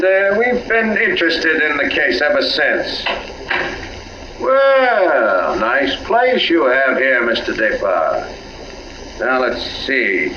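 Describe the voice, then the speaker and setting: A man's voice: on the high side, pushed and bright, dull audio, full character voice. A man playing a sly police captain who questions in a folksy, needling, falsely casual way, in 1940s radio mystery style. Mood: affable probing. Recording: echoey, hissy old radio recording.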